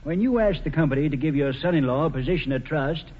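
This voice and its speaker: A man acting a grave, measured small-town lawyer in a 1940s radio drama, formal and deliberate. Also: a little high, lightly acted. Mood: grave, accusing. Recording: muffled old radio broadcast.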